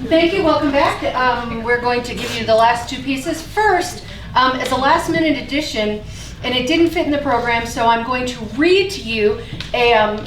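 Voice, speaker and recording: A woman hosting and reading aloud at a staged reading. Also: a little high. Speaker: host introducing and reading a poem. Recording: echoey room, distant mic, faint noise.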